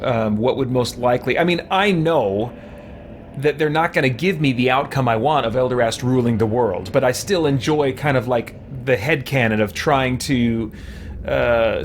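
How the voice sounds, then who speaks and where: A man's voice: medium pitch, measured pace, a little hiss or hum. A man giving casual, rambling gameplay commentary, thinking aloud as he streams a role-playing game. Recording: game music behind the voice. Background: video game music.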